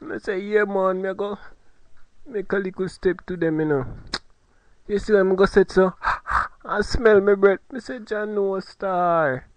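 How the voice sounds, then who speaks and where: A man's voice: high-pitched, steady tone, unhurried, dry recording. A man putting on a Jamaican patois accent, teasing his partner and telling comic stories with swagger. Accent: put-on Jamaican patois. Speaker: comedy podcast host. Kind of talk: comic banter and storytelling. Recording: lo-fi podcast audio.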